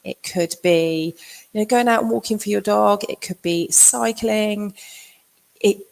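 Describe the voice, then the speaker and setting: A middle-aged woman, husky from a cold, giving a chatty live-streamed talk as a fitness coach, with lots of ums. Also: medium pitch, a little mellow. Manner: chatty, fills pauses with um. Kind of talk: casual livestream talk.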